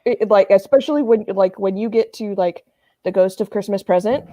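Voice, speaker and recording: A woman's voice: medium pitch, normal speed, mellow, dry recording. A woman chatting informally and emphatically as a panelist. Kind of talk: casual chat.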